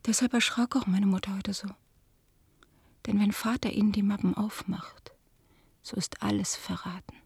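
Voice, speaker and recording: An actress playing an ashamed, pleading middle-aged daughter in a radio drama, confessing in a hushed voice. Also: female voice, medium pitch, steady tone, moderate pace, long pauses, husky, no echo. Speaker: the collector's daughter.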